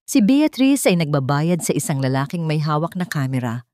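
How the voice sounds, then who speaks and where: A woman narrates a scripted dramatic story in a measured delivery, pausing between phrases for effect. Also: low-pitched, big pitch swings, a little mellow, no room sound, studio quality.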